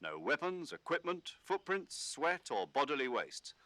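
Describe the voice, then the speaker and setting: A military commander from a video game, calm and in full authority. His voice is crisp-edged and bright, recorded very dry. He briefs in a measured, instructive way. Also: on the high side, no echo, full character voice. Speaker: mission commander giving radio briefing. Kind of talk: scripted video game voice acting.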